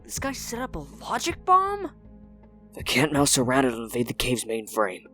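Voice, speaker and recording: Man as a lively cocky teen hacker boy. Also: mid-range voice, normal speed, faint music behind. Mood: intrigued. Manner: brash, slangy. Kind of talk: comic book dub acting.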